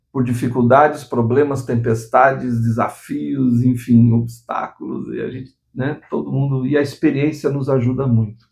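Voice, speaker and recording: Earnest gravelly man in his sixties. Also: medium pitch, darkish tone. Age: 60s. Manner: earnest, many restarts and tag questions. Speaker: channel host. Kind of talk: motivational talk to camera.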